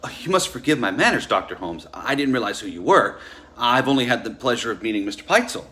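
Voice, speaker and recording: A man acting a stiff, domineering husband in a period stage drama. His voice is bright and forceful with animated pitch, formal and clipped, polite on top and controlling underneath. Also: quick pace. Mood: polite, eager. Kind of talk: musical theatre table read.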